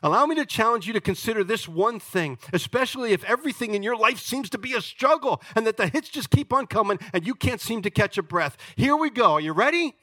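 Pastor, man, 60s; high, bright voice, dry recording, emphatic and humorous sermon delivery. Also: animated, fast talker, few pauses, dry recording. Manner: emphatic, jokey preaching with wide swings in loudness.

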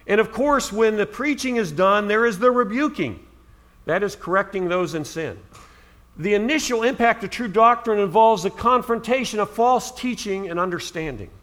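A man's voice: sits high, normal speed, fairly bright tone. A middle-aged man from Ohio preaching a sermon as pastor, earnest, with emphatic rises on key words.

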